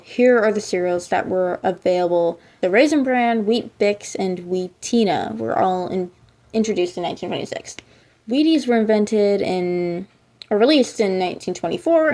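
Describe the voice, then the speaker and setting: A woman's voice: mid-range voice, mellow. A woman hosting a casual explainer and reaction video, chatting to viewers with conversational asides. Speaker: host of a reaction show.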